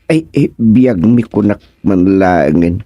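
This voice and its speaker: Male actor as flustered older councilman, Ilocano accent, unhurried speech, muttered exclamations, radio comedy, dry close sound. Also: sits low, lively intonation, dark tone, dry recording, lo-fi sound, full character voice. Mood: grumbling, musing.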